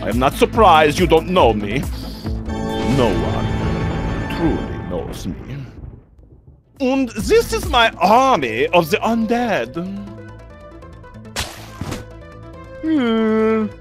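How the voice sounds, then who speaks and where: A vain, campy vampire villain with a German accent, speaking slowly and haughtily. The pitch swoops theatrically from low to giggly high in cartoon style, with music behind it. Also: male voice, a bit bright, full character voice. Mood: boastful. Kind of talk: animated comedy game voice acting.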